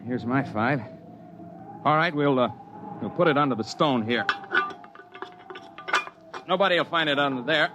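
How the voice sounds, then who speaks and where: An actor playing a steady, hearty mountain climber who tells his own tale in a 1950s radio drama. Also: medium pitch, unhurried, pauses often, over music, natural voice. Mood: brisk, wagering. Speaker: narrator and character. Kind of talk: 1950s radio drama, first-person narration and dialogue. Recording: dull band-limited 1950s radio recording.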